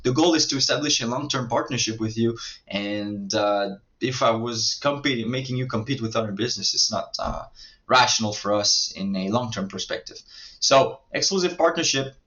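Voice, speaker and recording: French Canadian man, mid pitch, halting sales pitch over dull lo-fi audio. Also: fairly bright tone. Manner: hesitant, many fillers and restarts. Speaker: marketing agency owner pitching services.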